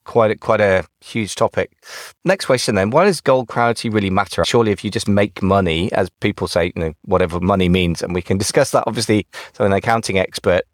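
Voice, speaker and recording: A man's voice: on the low side, fast talker, no room sound, studio quality. A man hosting a business podcast, chatting casually with playful asides and thinking aloud with fillers. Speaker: podcast host. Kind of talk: casual interview chat.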